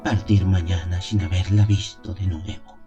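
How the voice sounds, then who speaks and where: A man narrating a gothic horror audio drama in the first person, solemn, measured and dramatic. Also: low voice, level tone, over loud music.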